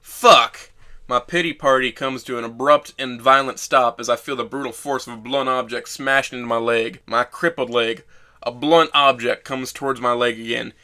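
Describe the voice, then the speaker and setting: A man's voice: medium pitch, sharp tone. A man playing a bitter, self-loathing young man who narrates his own story in a sardonic, brooding way for an audio drama. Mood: pained, cynical.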